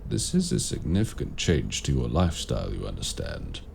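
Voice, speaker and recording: A man playing a courteous, grave warlock mentor in raven form, speaking formally and deliberately in an audio roleplay. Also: extremely low pitch, huge pitch swings, vocal fry, a little mellow, a little hiss or hum, exaggerated voice. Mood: cautious. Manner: formal, measured, deliberate phrasing. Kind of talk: audio roleplay addressed to the listener.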